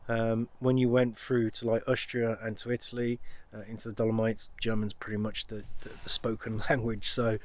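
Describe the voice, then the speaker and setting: A man's voice: lowish voice, monotone, normal speed. An English man from the UK talking casually to camera as a van life vlogger, rambling and upbeat with frequent ums and restarts. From United Kingdom. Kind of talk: casual vlog talk to camera.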